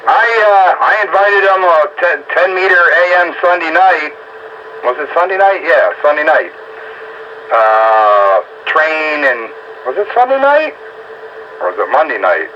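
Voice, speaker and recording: A man's voice: on the high side, sing-song, unhurried, pushed and bright. A man in casual, folksy, rambling chat over a two-way radio as he runs a round-up. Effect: two-way radio transmission. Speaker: radio operator running a round-up. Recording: dull, noisy two-way radio audio.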